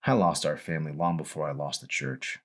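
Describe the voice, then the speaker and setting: A man's voice: extremely low pitch, steady tone, a little fast. A man reading as a weary, defensive alcoholic father in an audiobook, pleading and confessional. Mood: regretful, weary.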